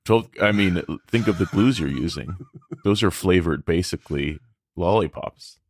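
A man's voice: low voice, lively intonation, moderate pace, husky, no room sound, studio quality. A man on a podcast panel, joking in casual banter.

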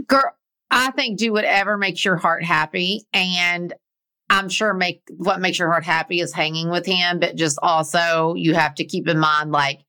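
A woman's voice: on the low side, fairly even intonation, unhurried, bright, forceful tone. A woman co-hosting a podcast in casual, informal chat, talking as if to a girlfriend. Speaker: podcast co-host.

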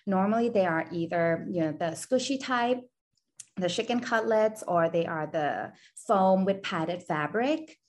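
A woman from Thailand, a brand founder and podcast guest, who talks in an earnest, steady way and fills her speech with "you know.". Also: on the low side, a little slow. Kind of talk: podcast interview.

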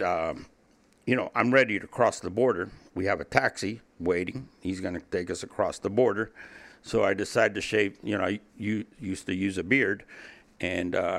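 Low, gravelly man telling a story. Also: a bit bright. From Cuba. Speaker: interview guest, former drug trafficker. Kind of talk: casual first-person storytelling.

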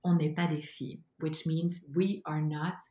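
A woman from Belgium with a French accent, a guest telling her story in an animated, emphatic way. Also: sits low, moderate pace, reverberant. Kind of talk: storytelling interview.

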